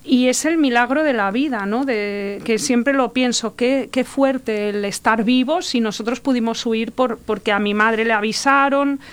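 A woman's voice: on the high side, unhurried, few pauses, clean tone. A middle-aged woman playwright from Argentina, raised abroad, telling her personal story reflectively in a conversational interview. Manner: personal, reflective, laughs often. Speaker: playwright guest.

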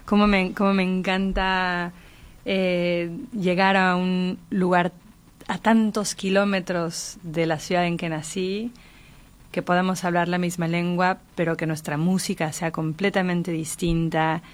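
Casting: middle-aged Mexican woman, slightly low register, articulate writer in a thoughtful interview. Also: flat delivery, a little slow. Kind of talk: reflective literary conversation.

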